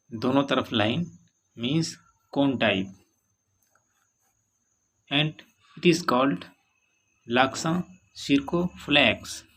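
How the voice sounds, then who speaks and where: A man with an Indian English accent teaching French in a bilingual lesson, reading English lines slowly and then explaining them in Hindi. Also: medium pitch, a bit bright, slightly rough.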